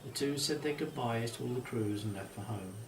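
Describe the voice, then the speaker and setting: A man narrates a story for children at a medium pitch. He sounds set back from the microphone in a roomy space, with some background noise. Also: fairly quick. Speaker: author reading own story. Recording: distant mic, roomy echo, faint hiss.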